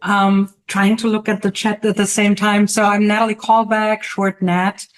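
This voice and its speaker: A middle-aged woman from Germany with a German accent, a guest in a casual interview over a video call, who pauses often to search for words. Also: mid-range voice, flat delivery. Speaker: guest artist and teacher.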